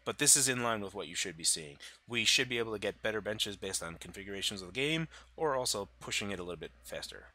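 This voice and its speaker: A deep-voiced man with a bright, forward edge to his tone, talking through hardware specs. He sounds congested from a cold and talks loosely, full of "uh"s and restarts. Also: animated, fast talker, hardly any pauses. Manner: loose, filler-heavy, self-correcting. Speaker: tech channel host. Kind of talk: casual tech explainer over slides.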